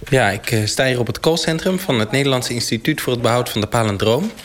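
An actor playing an earnest, slightly awkward field reporter, hesitantly probing in a mock radio interview. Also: male voice, mid-range voice, quick pace, a bit bright, natural voice. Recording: faint call centre noise, clicks. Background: faint call centre noise.

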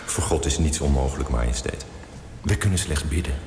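An actor playing a pious, deferential court chaplain in a radio drama, speaking solemnly. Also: male voice, extremely low pitch, brisk, a little mellow, reverberant, in a large hall, a little hiss or hum.

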